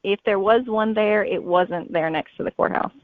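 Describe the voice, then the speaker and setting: A woman's voice: medium pitch, moderate pace, no room sound. A woman speaking casually and conversationally as a guest author answering interview questions. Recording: thin phone-like sound.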